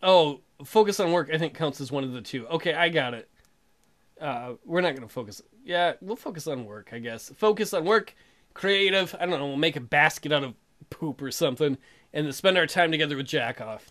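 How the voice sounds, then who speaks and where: High-pitched man doing irreverent game commentary. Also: animated, brisk, fairly bright tone. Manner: irreverent, crude joking and thinking aloud. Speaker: let's play host. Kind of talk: casual gameplay commentary. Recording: game music behind the voice. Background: game music.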